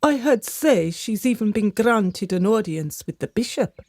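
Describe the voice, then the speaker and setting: A woman's voice: mid-range voice, expressive, measured pace, darkish tone, no room sound, clean studio sound. A woman playing a fretful, gossiping servant of a noble house in a radio drama, melodramatic and confiding. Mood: gossipy, pitying.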